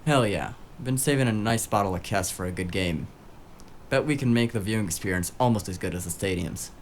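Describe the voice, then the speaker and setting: An actor playing a cheeky, eager, tech-savvy sidekick in a noir audio drama, with breezy, casual banter. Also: male voice, fairly low pitch, brisk, a little hiss or hum, close to an everyday voice.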